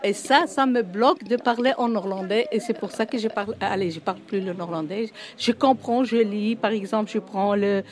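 A woman whose first language is Dutch speaks French at a middle pitch. She sounds earnest and a little hesitant. She is describing her own experience in a casual interview. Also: a little fast, hardly any pauses. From Poperinge area, West Flanders. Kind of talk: casual personal account.

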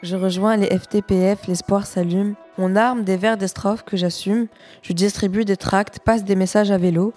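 A bold young resistance fighter speaks her verses, read by a young woman. Her voice is warm and level, barely rising or falling. Soft music runs behind her. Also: medium pitch, moderate pace, lightly acted. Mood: determined. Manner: steady rhymed recitation. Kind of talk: first-person spoken-word poem.

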